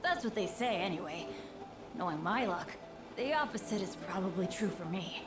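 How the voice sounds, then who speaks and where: A woman's voice: medium pitch, expressive, normal speed, natural voice. A woman voicing a plucky, unlucky but cheerful young boy adventurer in a video game, with eager, exclamatory delivery. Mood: upbeat, wry. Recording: roomy game audio under loud noise.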